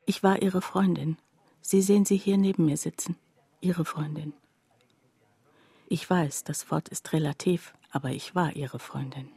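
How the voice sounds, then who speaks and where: A husky, confiding woman narrator. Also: low voice, steady tone, warm tone, dry recording, exaggerated voice. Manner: confiding, deliberate, addressing the listener directly. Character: reflective woman narrator recalling her destitute friend. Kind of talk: intimate radio drama monologue.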